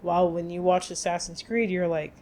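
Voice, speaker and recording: Low-voiced woman co-hosting a podcast. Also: fairly quick, a little hiss or hum. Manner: relaxed, talks it through with fillers. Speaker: podcast co-host. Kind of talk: casual podcast chat.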